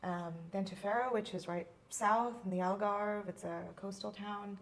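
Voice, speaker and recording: A woman's voice: medium pitch, steady tone, normal speed. A woman from India talking casually as a guest in an interview.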